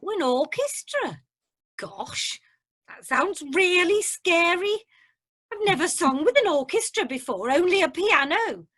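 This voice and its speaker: A woman's voice: very high-pitched, sing-song, unhurried, fairly bright tone, exaggerated voice. A woman playing a modest, nervous Welsh amateur singer with a Welsh accent in a radio comedy. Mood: flustered, nervous. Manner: hesitant, flustered, polite.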